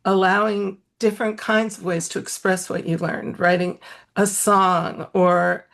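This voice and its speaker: A woman's voice: medium pitch, flat delivery, unhurried, vocal fry, a bit bright. A woman talking reflectively and loosely as a panelist in an online discussion over a video call.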